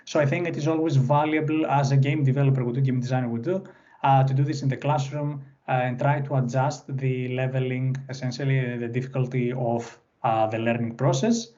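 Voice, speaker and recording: A man's voice: medium pitch, steady tone, a little fast, warm tone, highs cut off. A young man whose first language is Greek, speaking English with a Greek accent, presenting an online workshop in an unscripted way with many "uh" fillers and restarts. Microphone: computer mic on a video call.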